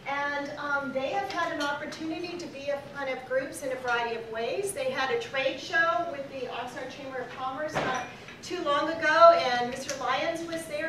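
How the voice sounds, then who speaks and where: A woman with a booming voice giving a presentation to an audience in a large dining room. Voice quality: booming projected voice. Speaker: program presenter. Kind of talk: public speech to a breakfast audience. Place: large restaurant dining room at a breakfast event. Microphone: far camera in the audience. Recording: very echoey, distant mic, loud room noise.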